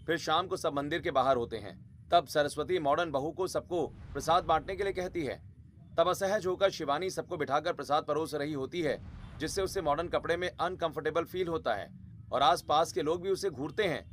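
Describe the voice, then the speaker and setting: A male narrator tells a scripted family tale in dramatic storytelling fashion. His voice sits a little high for a man, and music plays behind him. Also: a little fast, fairly bright tone, slight background noise.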